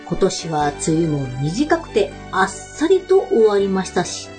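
A woman's voice: on the high side, a little mellow. A woman presenting a web radio show with scripted, polite and playful greetings and announcements.

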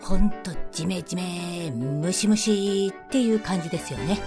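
A woman's voice: on the low side, normal speed, music in the background. A woman hosting a radio show in bubbly casual talk, with playfully over-polite phrasing.

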